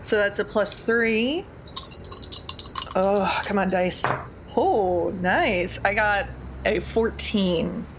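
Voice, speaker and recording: Woman playing a tabletop game, medium pitch. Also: drawn-out words, long pauses, noisy background. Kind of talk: casual tabletop game play.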